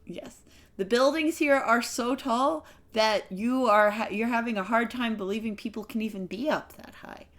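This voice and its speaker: A woman narrating as game master of a tabletop game, casual and halting. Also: a little high, a bit bright.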